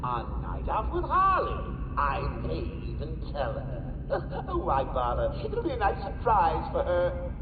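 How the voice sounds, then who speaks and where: A man's voice: fairly high pitch, wildly swooping pitch, measured pace, roomy sound, phone-quality sound, noisy background. An actor voicing a manic, cackling male clown villain in a video game, theatrical and cruel, swinging between sing-song glee and menace. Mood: mocking.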